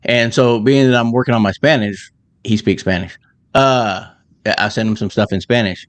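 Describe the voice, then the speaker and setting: A man in casual conversation, telling an anecdote in a relaxed, easygoing way. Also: fairly low pitch, normal speed, no echo.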